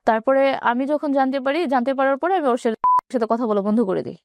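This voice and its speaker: A young Bangladeshi woman recounts her own life in an interview. Her voice sits a little high and moves quickly, matter-of-fact in delivery. The sound is dry, with no room around it. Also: a little mellow, dry recording. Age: 20s. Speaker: interview guest telling own life story. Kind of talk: personal storytelling in an interview.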